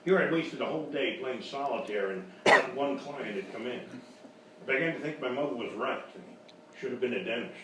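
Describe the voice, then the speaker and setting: An actor playing a boastful, bumbling private eye, narrating in blustering deadpan in a parody of old radio detective drama. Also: male voice, mid-range voice, moderate pace, lightly acted. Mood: world-weary. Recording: very echoey, distant, noisy live stage recording.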